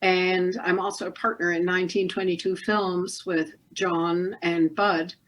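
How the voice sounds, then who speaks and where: A woman's voice: lowish voice, flat delivery, unhurried, low-quality recording. A woman from northern Germany with a German accent, speaking earnestly as a documentary producer in a casual interview over a video call.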